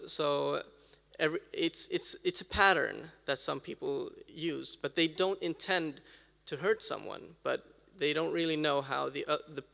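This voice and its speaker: Gravelly man in a hall. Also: on the high side, unhurried, bright, forceful tone. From Sweden. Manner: hesitant, many ums and uhs. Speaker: audience member. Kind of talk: audience comment at a talk. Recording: reverberant hall sound.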